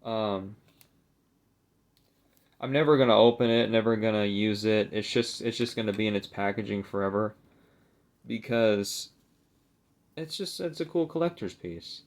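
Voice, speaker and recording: Lower-pitched young man, rambling vlog chat. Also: a little slow, pauses often. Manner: rambling, hesitant, self-deprecating. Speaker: video creator showing a collectible. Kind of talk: casual unscripted vlog chat.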